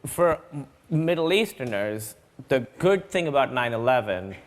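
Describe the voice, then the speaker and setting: A young man, a comedian guest, telling animated stories in a casual panel chat and laughing often. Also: medium pitch, moderate pace, very noisy.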